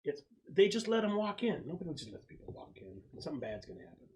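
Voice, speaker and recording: A man's voice: a little high, sing-song, quick pace, a little mellow, slight background noise, voice pushed up. Man playing a jittery male military scout, gloomy, anxious, grumbling, tabletop roleplay. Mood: anxious complaining. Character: nervous, gloomy male soldier scout, given to complaining.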